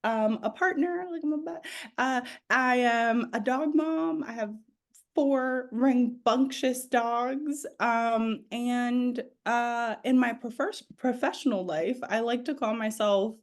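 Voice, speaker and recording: A woman's voice: fairly high pitch, takes it slowly. A young woman from the Bronx speaking casually as a guest on a podcast. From the Bronx, New York, USA. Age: young adult. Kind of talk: casual chat.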